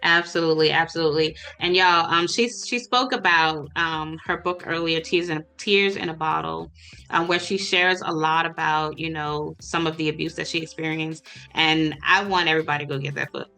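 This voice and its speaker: Woman podcast host, bright edgy tone, enthusiastic and encouraging. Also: sits low, fairly even intonation, moderate pace, creaky. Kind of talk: casual interview talk.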